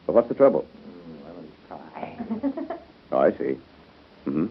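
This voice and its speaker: An actor playing a busy, good-natured doctor in a 1930s radio drama, conversational and hesitant. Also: male voice, extremely low pitch, sing-song, slow, heavily acted. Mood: businesslike, hesitant. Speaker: radio soap opera actor. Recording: muffled lo-fi 1930s radio broadcast.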